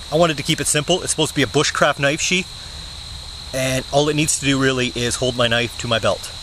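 A young man talks casually to a nearby camera while sitting on the forest floor. He speaks in an easygoing, plain way, often pausing on "uh". Crickets and insects buzz clearly behind him in the outdoor camera audio. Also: medium pitch, noisy background. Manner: relaxed, plain-spoken, many "uh" fillers. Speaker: bushcraft channel host. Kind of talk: casual show-and-tell vlog. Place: woods outdoors, seated on the ground. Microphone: camera mic at close range.

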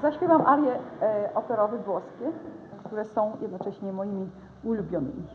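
A middle-aged woman, an opera singer, answers questions in a grand palace room. She speaks thoughtfully, pausing between phrases. The sound is thin and tinny, with a faint hiss, like an old television recording. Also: mid-range voice. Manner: thoughtful, with pauses and hesitations. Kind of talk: reflective interview answers. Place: ornate palace room, indoors.

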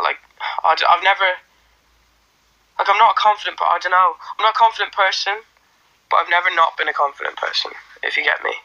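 A man's voice: on the high side, sharp tone, muffled recording. A young man from Ireland whose Irish accent has mostly faded, chatting casually on a phone livestream in a small room, reading out viewer comments and rambling with lots of fillers and restarts. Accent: faint Irish, mostly worn off. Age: young adult. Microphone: phone held close.

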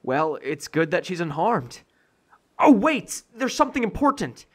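A woman playing a concerned, excitable comrade in a fan dub, with faint noise behind. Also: lowish voice, measured pace, breathy edge, full character voice. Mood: relieved, concerned.

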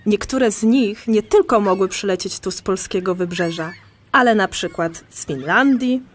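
An actress playing a patient, enthusiastic amateur birdwatcher who explains things in a conversational audio drama. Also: female voice, medium pitch, moderate pace, slight background noise, natural voice. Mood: explaining.

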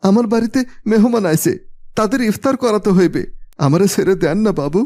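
A man's voice: high-pitched, lively intonation, a little fast, mellow. A man voicing a humble, devout poor village labourer in a cartoon, deferential and imploring. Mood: pleading. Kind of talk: cartoon voice acting.

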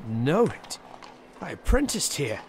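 A man's voice: on the high side, very animated, slow, creaky, noisy background, heavily acted. A man playing a humbled old miser in an audio drama, earnest and wistful.

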